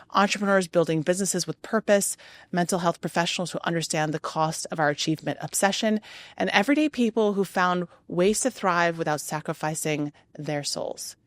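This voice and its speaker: A middle-aged woman hosts her podcast in a low, earnest voice. Her first language is Arabic. She delivers a scripted monologue in rhythmic lists with emphatic pauses, and the sound is dry and close. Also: no echo. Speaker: podcast host. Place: hotel room.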